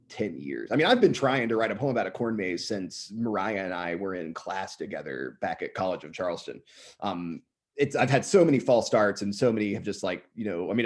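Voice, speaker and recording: A man in his thirties, slightly hoarse and often clearing his throat, reciting his own poems in a measured way and chatting loosely between them. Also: lowish voice, darkish tone. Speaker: poet reading his own work. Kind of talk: poetry reading with casual asides.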